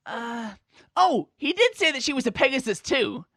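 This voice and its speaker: A woman's voice: sits high, big pitch swings, moderate pace, a bit bright, dry recording, studio quality, heavily acted. A woman voicing a brash, boastful young male pegasus pony in a fan-fiction audio story, with casual, slangy speech. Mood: casual, musing.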